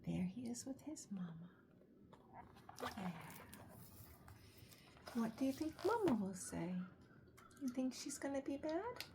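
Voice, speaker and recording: A woman reading a bedtime story aloud to young children, soft and often breathy, adding chatty asides in an expressive way. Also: sits high, slow pace, pauses often, background noise. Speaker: children's story reader, host.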